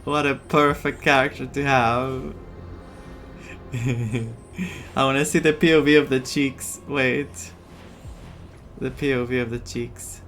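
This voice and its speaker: A woman giving casual playthrough commentary as a gaming streamer, in an excitable, gushing manner. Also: rumbling low, takes it slowly, long pauses, soft background music.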